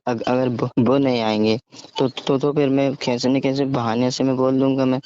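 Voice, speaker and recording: Young man, medium pitch, monotone, halting casual phone talk, dull lo-fi recording. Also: slight background noise. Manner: hesitant, stammering, repeats words. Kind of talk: casual private phone conversation. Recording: dull, dry lo-fi call recording.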